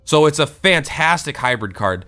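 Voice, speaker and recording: A man's voice: a little high, animated, normal speed, sharp tone. A man with a stuffy, congested voice from a cold, giving a casual, rambling guide to his card game deck as a streamer. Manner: rambling, many fillers and self-corrections.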